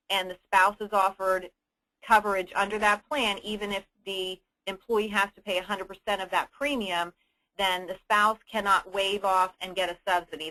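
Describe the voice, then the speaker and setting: A woman hosting a conference call briefing, answering submitted questions in an explanatory, businesslike and somewhat halting manner. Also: medium pitch, pushed and bright, rough recording. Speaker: host of an insurance agents' conference call. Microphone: telephone conference line.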